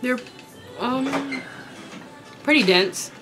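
A young woman from Kentucky chatting casually while eating, her voice raspy from a sore throat, rambling with many ums. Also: high voice, very slow, over music. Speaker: vlogger. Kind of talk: casual mukbang chat. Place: small indoor room with dark curtain backdrop.

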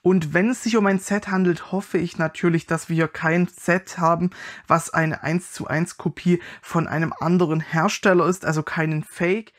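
A man's voice: a little high, fairly even intonation, moderate pace. A man giving casual, wry and critical commentary as he reviews an unboxing.

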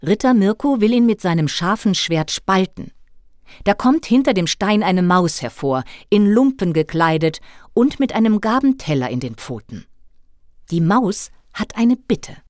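A woman reading a scripted children's story as narrator, with expressive storytelling delivery. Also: mid-range voice, a little slow, no room sound, clean studio sound.

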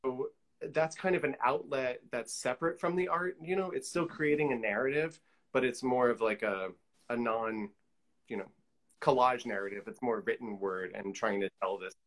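A man's voice: medium pitch, normal speed, a bit bright. Middle-aged man chatting, thinking aloud. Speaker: guest, collage artist. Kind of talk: casual interview chat. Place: indoor room, on a video call. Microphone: video call.

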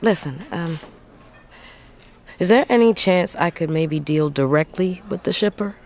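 A woman's voice: fairly low pitch, expressive, measured pace, darkish tone. A woman acting as a weary, blunt truck driver in a naturalistic audio drama. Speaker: actor in lead role. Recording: faint background noise.

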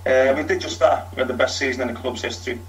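A man's voice: medium pitch, fairly even intonation, quick pace. Frank middle-aged Liverpool man. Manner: frank, talks in long runs with fillers. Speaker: former footballer, interviewee. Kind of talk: candid storytelling.

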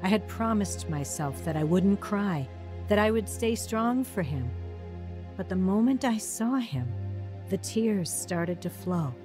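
A woman's voice: medium pitch, lively intonation, measured pace, close to an everyday voice. An actress narrating as a grown woman looking back earnestly on her painful past. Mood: reflective. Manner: earnest reflective. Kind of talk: scripted narration. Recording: narration over music. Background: soft new-age music.

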